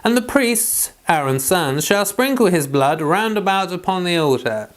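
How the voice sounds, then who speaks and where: A man's voice: sits high, animated, normal speed. A man leading an informal Bible read-along, reading scripture aloud and giving chatty devotional commentary.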